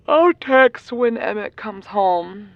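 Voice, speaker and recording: A woman's voice: fairly high pitch, lively intonation, extremely slow, slight background noise, full character voice. A woman playing a laid-back, teasing, reassuring friend in an audio roleplay, with a breezy, casual manner, on a slightly muffled recording.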